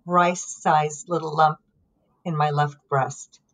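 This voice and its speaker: A middle-aged woman from Lebanon, a podcast guest, telling her story calmly and reflectively with frequent pauses and ums. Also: low-pitched. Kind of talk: conversational storytelling.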